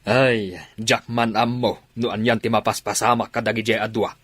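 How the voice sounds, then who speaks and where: A man's voice: fairly low pitch, fairly even intonation, normal speed, fairly bright tone, slightly rough, no echo. A man playing a gossipy middle-aged father in a radio drama, questioning and incredulous. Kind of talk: Ilocano radio drama acting.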